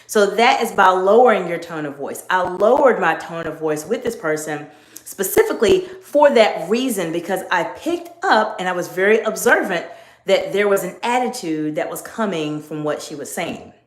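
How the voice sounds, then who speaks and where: A middle-aged woman coaching viewers on leadership in a live stream, speaking in a measured, emphatic teaching tone. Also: fairly low pitch, animated. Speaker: executive coach. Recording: echoey room.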